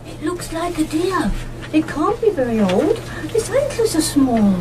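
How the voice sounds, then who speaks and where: A woman's voice: very high voice, big pitch swings, a little slow, full character voice. A woman playing a helpful, curious, inquisitive friend in an amateur radio play. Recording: noisy live amateur radio broadcast recording.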